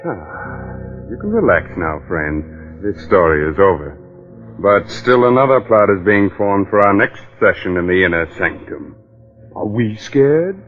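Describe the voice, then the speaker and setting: Music plays behind a low-voiced man on a muffled old radio recording. He hosts in scripted forties mystery style, theatrical and confiding, addressing the listener directly. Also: lively intonation, a little slow. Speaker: program host and pitchman.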